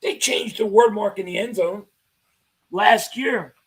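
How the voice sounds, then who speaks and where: Man, livestream host, gravelly and hoarse from losing his voice, upbeat and chatty. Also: sits high, measured pace, a bit bright. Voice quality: husky, fading voice. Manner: enthusiastic fan reading chat comments aloud. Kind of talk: casual live-chat commentary.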